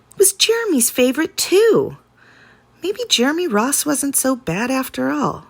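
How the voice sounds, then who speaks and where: A woman reading a children's story aloud in an animated way, drawing out key words for dramatic emphasis. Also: mid-range voice, vocal fry. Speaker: teacher reading a picture book aloud. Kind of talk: expressive children's storybook narration.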